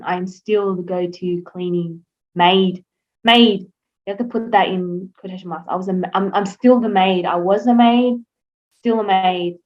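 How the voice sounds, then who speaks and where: A young Australian woman answering interview questions in a casual, rambling way, with lots of fillers and restarts. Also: on the low side. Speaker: interview guest. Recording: slightly dull lo-fi sound.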